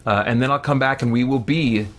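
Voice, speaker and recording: A man's voice: medium pitch, brisk, fairly bright tone. A man chatting casually and jokily as the easygoing host of a gaming livestream.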